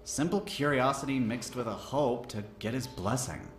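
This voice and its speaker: A man playing a devoted, lovestruck young unicorn gentleman in a fan audio drama, speaking earnestly. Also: mid-range voice, expressive, normal speed, close to an everyday voice. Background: music.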